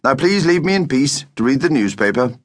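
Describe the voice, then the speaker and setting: A man's voice: sits low, animated, moderate pace, a bit bright, breathy edge, dry recording, heavily acted. A man voicing a stubborn, exasperated father in a storybook, indignant, emphatic and dismissive. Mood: stubborn, irritated.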